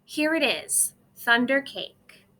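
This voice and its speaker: A woman voicing a steady, reassuring old farm grandmother from a storybook, with an encouraging, emphatic delivery. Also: sits high, slow, a little hiss or hum, heavily acted. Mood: brisk, urging.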